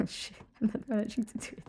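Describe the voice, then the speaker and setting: A woman's voice: fairly quick, a little mellow, a little hiss or hum. A woman with a cold streaming a detective game, chatting casually and laughing often as she plays. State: has a cold, voice lowered. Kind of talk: casual live-stream chat.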